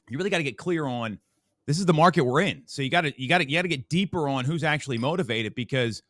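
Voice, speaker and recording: A man's voice: medium pitch, a bit bright, no echo, clean studio sound. A man co-hosting a business podcast, speaking emphatically and repeating words as he drives his point home. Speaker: podcast co-host. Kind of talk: casual business podcast discussion.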